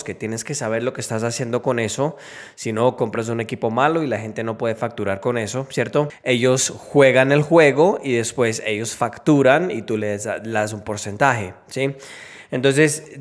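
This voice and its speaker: Male video host, thirties, mid-pitched, conversational explainer on a close mic at home. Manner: conversational, checks in with tag questions. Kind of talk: casual explainer to camera. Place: small home room, indoors. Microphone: close desk microphone on an arm.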